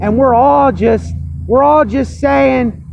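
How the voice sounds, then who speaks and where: A hoarse man with a cracking voice ranting angrily to camera, repeating himself and swearing. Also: extremely high pitch, slow pace, a little mellow, a little hiss or hum. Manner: fired-up, repetitive, swearing. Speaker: vlogger. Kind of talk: angry personal rant to camera.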